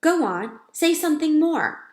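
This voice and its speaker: A woman's voice: sits high, very animated, a little slow, a little mellow, pitched above the performer's own voice. Lady doing a kid at camp in a storybook, sulky n amazed, echoey. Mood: astonished. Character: astonished, sulky young boy at summer camp. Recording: roomy, echoing sound.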